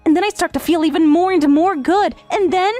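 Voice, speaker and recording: A woman's voice: very high voice, a little fast, a little mellow. A woman playing a devoted, clingy young step-sister who teases coyly in an English-dubbed manga romantic comedy. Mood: coy, teasing. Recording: music behind.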